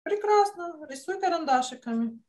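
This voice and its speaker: Female art teacher, middle-aged, with a high-pitched voice, giving children encouraging step-by-step drawing instruction over a video call. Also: expressive, normal speed, darkish tone. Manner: encouraging, uses affectionate diminutives. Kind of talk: online drawing lesson for children. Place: indoor room, on a video call.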